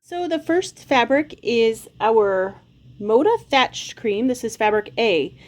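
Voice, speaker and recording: A woman's voice: on the high side, expressive, slow pace, music in the background. A woman in her forties with a slight cold hosts a casual sewing tutorial, explaining each step in a friendly, chatty way from her home sewing room. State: slight cold, occasional cough. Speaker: quilting channel host.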